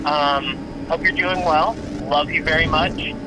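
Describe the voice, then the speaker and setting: A man's voice: a little high, steady tone, unhurried, background noise, natural voice. A man acting a goofy, affectionate buddy who rambles playfully through a voicemail in an audio drama. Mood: affectionate, casual. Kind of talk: naturalistic fiction podcast voicemail acting.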